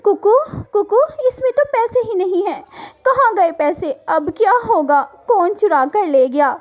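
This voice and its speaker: Woman voicing an earnest high-pitched mother bird. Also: lively intonation, measured pace. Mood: distraught. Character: hardworking, anxious mother sparrow-like little bird. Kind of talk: children's cartoon storytelling.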